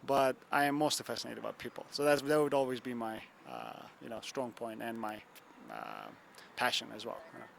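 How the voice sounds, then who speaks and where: A man's voice: mid-range voice, animated, brisk, gravelly, a bit bright, slight background noise. A young man from Turkey, a photographer, answering interview questions in a loose, rambling way with lots of fillers. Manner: rambling, many fillers and "you know what I'm saying". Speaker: photographer guest. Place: bar and grill.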